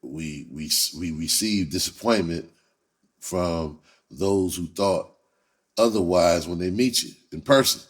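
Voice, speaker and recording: A man's voice: low voice, unhurried. An American man, a comedian and rapper, giving his candid opinion in a casual, blunt, profane monologue full of slang.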